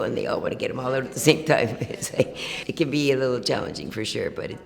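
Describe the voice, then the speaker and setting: A man giving casual, reflective interview answers as a peer journalist, earnest and halting in his phrasing. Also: lowish voice, vocal fry.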